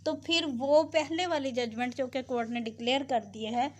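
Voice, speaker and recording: A woman with a fairly high voice and a Pakistani accent teaches like a tutor. She moves between deliberate reading and informal explanation. The recording is amateur, with faint noise behind her. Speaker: law tutor. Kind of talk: explanatory lecture, reading statute aloud and explaining it.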